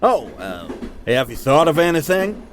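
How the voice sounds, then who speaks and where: A man's voice: very animated, measured pace. A man playing a thoughtful, questioning steam train crewman in an audio drama, speaking in a curious, reflective way. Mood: inquisitive. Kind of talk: amateur audio drama acting. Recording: noisy rumbling train background.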